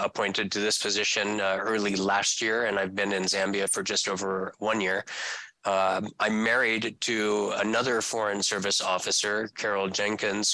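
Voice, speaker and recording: A middle-aged man from California with a lowish, bright, forceful voice that stays flat in tone. He lays out facts in a steady, businesslike way. The sound is dry, coming over a video call. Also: no room sound, low-quality recording. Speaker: career diplomat and panelist. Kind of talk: formal self-introduction.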